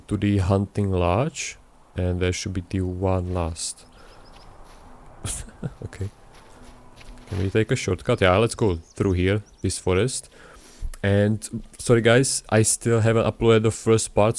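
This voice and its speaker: A man's voice: fairly low pitch, a little mellow. A young man, not a native English speaker, rambling through casual gameplay commentary with many fillers and restarts. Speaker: gaming YouTuber.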